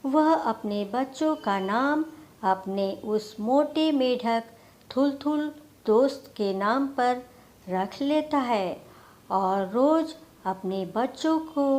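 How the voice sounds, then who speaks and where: A woman's voice: fairly high pitch, a little mellow. A woman storyteller reading a scripted moral tale as narration.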